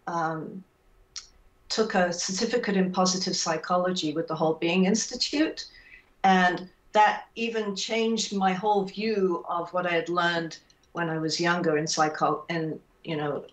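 English woman, low voice, roomy webcam sound. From England. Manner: thoughtful, measured, with frequent um fillers. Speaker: guest author. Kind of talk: video call interview. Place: home room with bookshelves. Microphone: video call webcam. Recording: echoey room, voice a bit far from the mic.

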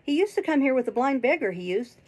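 Lady reader doing a hearty blustery old sailor pub landlord, crafty, raspy, pushed up high, storybook style. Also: expressive, brisk. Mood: excited, blustering. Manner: hearty, emphatic sailor talk. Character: tall one-legged seafaring landlord, jovial, blustering, cunning older man.

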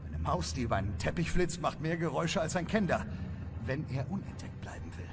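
A man's voice: fairly high pitch, expressive, a little fast. A man playing a level-headed, pragmatic leader of fantasy adventurers, watchful and decisive. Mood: guarded, decisive.

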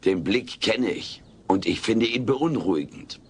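A man voicing a cheeky, gluttonous little furry alien with deadpan wisecracks in a German sitcom audio play. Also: fairly low pitch, measured pace, loud music behind the voice. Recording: faint hiss, old cassette audio.

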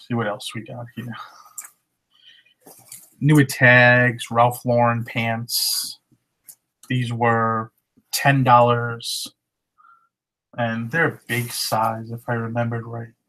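Unhurried thirties man, monotone, plain webcam sound, reselling talk. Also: mid-range voice, poor audio. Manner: relaxed, matter-of-fact item rundown. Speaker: reseller showing thrift finds. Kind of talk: casual haul vlog. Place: living room at home. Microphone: webcam at desk.

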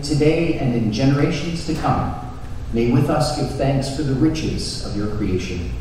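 A man speaking as a priest leading church worship, solemn and measured, in liturgy and prayer. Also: mid-range voice, warm tone. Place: church, indoors. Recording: echoey church from far off, noisy. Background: noise, room ambience.

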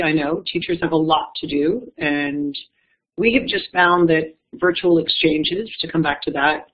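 A woman leading a foundation, explaining its programs in a measured panel talk with some self-corrections. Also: on the low side. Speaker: executive director of an education foundation. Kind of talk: panel talk answering audience questions. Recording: tinny phone-like line.

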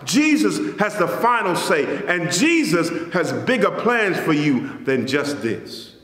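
A pastor in his forties preaching a sermon in a church sanctuary, measured and rising on key phrases, then dropping soft at the ends of lines. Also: fairly high pitch, sing-song. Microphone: stand mic in front, camera at a distance. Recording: echoey church hall.

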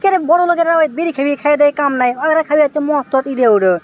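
A high, quick-talking young village bachelor played by a man in a comic cartoon, desperate for a wife. He whines and exclaims impatiently in a Bogura accent, his voice pitched up. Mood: indignant complaining. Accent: Bogura, northern Bangladesh.

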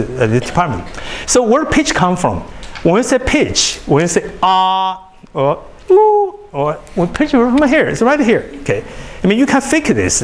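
Animated lecturing man, lively pitch. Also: a little hiss or hum. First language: Chinese. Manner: animated, repeats words and restarts often. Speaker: university lecturer. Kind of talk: classroom lecture. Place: large lecture hall.

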